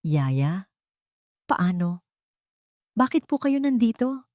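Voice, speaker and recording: A woman's voice: medium pitch, big pitch swings, slow pace, a little mellow, no room sound, clean studio sound. A woman voicing a frightened young mother in a story, anxious and pleading. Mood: bewildered. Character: frightened, desperate young mother searching for her child. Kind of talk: storybook drama.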